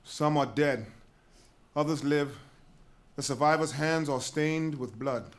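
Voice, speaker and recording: An actor playing a grave, shaken messenger in a dramatic reading of a Greek tragedy, telling terrible news in a measured, emphatic way. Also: male voice, on the high side.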